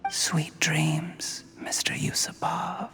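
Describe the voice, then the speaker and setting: An actor voicing a cunning, vengeful official in a video game, calm, smug and mock-polite with quiet menace. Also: male voice, fairly high pitch, slow pace, vocal fry, in a whisper. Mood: hushed, menacing. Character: cunning, coldly vengeful male official, smooth schemer. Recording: long reverb with music behind.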